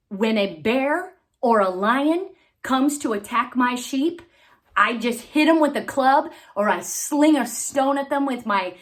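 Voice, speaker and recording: A woman voicing a young shepherd boy who speaks earnestly and confidently. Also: fairly high pitch.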